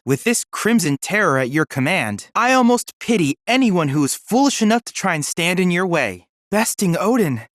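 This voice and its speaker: Young android boy researcher, video game dialogue, earnest, precise, polite, animated intonation, close dry sound. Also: medium pitch, no echo. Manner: formal, precise, courteous, earnest.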